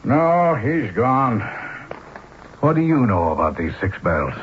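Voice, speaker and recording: A no-nonsense chief inspector in an old radio drama. His medium-pitched voice is matter-of-fact and official, a little gruff in manner. It comes through muffled, with faint hiss. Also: big pitch swings, takes it slowly. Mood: official, inquiring. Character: businesslike, plain-spoken male police chief inspector. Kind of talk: vintage radio mystery acting.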